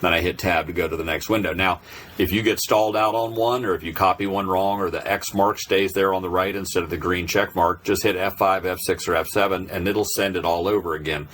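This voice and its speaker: A man's voice: low voice, fairly even intonation, fairly quick, a bit bright, a little hiss or hum. A man narrating an informal, unscripted tutorial, explaining step by step in a hesitant way. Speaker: presenter of a software tutorial.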